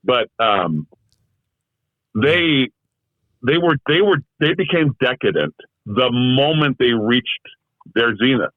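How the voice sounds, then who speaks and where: A man's voice: mid-range voice, very animated, slow, pauses often, no room sound. A man being interviewed as a guest, talking in a thoughtful, rambling way with lots of fillers and restarts, heard over a remote call. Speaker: guest, translator. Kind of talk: casual conversational interview. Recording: tinny phone-like remote call audio.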